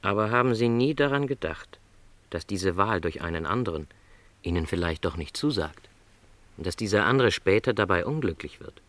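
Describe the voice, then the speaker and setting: Gentle middle-aged salesman, widely swooping intonation. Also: low voice, lively intonation, moderate pace, no echo. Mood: gentle, doubting. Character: no longer young, lonely travelling salesman, gentle, resigned. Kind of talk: German radio play dialogue.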